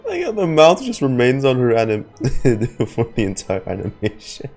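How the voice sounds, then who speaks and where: A man's voice: mid-range voice, huge pitch swings, moderate pace, vocal fry, a little mellow. A man giving casual live commentary while streaming a game, with a colloquial, muttering manner. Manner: colloquial, muttering reactions, addresses chat. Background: faint game music.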